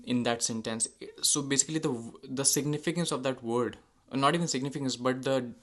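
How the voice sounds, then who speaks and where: Hindi-speaking man musing with many pauses. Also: medium pitch, a bit bright. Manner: hesitant, many fillers and self-corrections. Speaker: channel host. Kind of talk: casual unscripted monologue.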